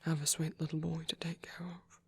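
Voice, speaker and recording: A man voicing a gentle, protective vampire priest in an ASMR roleplay, speaking softly and breathily in halting, earnest, tender confession. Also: fairly high pitch, flat delivery. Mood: careful, earnest. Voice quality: breathy, whispery, soft. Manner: hesitant, halting, earnest delivery. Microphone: close microphone, dry sound.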